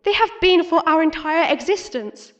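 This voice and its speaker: An actress playing a principled opposition leader, speaking in formal, pointed rhetoric in an audio drama debate. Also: female voice, very high-pitched, animated, moderate pace, muffled recording. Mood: cutting, earnest.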